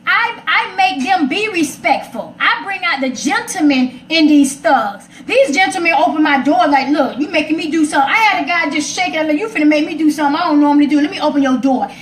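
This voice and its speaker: A woman's voice: sits high, lively intonation, fairly quick, few pauses. An American woman chatting casually and emphatically, repeating phrases to drive her point home. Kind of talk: animated casual chat. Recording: roomy echo, faint background noise.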